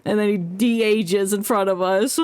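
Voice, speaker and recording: A woman's voice: mid-range voice, steady tone, measured pace, darkish tone, clear, resonant tone, dry recording. A woman game streamer giving casual, joking, irreverent live commentary. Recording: faint game music behind. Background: video game music.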